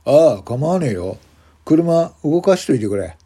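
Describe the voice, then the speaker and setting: A man voicing a menacing, foul-mouthed gangster hotel guest, domineering and curt, with rough slangy speech. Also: fairly high pitch, very animated, unhurried, pitched above the performer's own voice, heavily acted. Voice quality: loud, rough shouting when angered.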